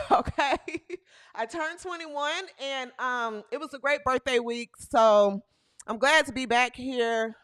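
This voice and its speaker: Female host, 30s, bright and sharp tone, playful live-stream energy with shouted bursts. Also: sits high, takes it slowly, clean tone. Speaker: podcast host. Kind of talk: live-stream sports talk chat.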